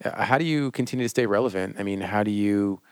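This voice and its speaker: Low-voiced male interviewer. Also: a little mellow, clear, resonant tone, no room sound, clean studio sound. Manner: brief affirmations, measured questions. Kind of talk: interview questions.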